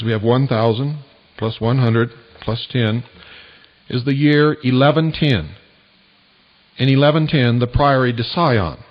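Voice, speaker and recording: Lo-fi shortwave American man, portentous radio host. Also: medium pitch. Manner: slow, deliberate delivery with dramatic pauses; portentous, preaching to listeners. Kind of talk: talk radio monologue. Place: radio broadcast. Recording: dull lo-fi 1990s shortwave radio recording.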